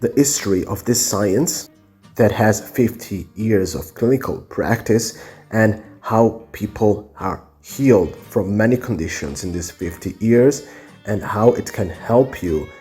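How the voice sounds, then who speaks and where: A man with an Italian accent talks in a low, mellow voice, hosting a solo podcast without a script. He hesitates often, filling gaps with "um" and restarting phrases. Soft music plays faintly behind him on a phone recording. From Italy. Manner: hesitant, many fillers and restarts. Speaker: podcast host. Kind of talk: unscripted solo podcast talk. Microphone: cell phone.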